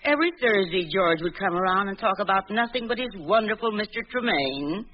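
An actress playing a folksy, expressive old mother who is secretly a sly crook, in a 1940s radio drama. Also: medium pitch, brisk, a little hiss or hum, lightly acted. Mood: folksy, sweet. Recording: muffled 1940s radio recording.

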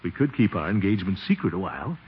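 An actor playing a flustered, scheming middle-aged bridegroom-to-be in 1940s radio comedy, blustery, stammering and chuckling nervously. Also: male voice, low voice, big pitch swings, quick pace. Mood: sly musing. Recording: muffled, noisy old 1940s radio recording.

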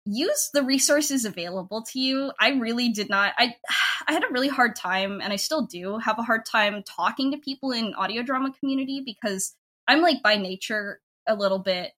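A woman's voice: a little high, moderate pace, pushed and bright. A woman in a casual interview, talking effusively and informally as a creator, rambling a little as she goes. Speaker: podcast guest, audio drama creator.

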